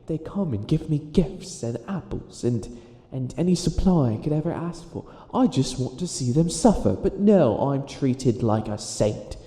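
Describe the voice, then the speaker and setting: A man's voice: medium pitch, animated, measured pace, mellow, reverberant, natural voice. A man playing a theatrical, exasperated wicked witch who fails at being evil, stammering through a comedic roleplay monologue. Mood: grandiose villainy. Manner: exasperated, stammering, melodramatic villain rambling.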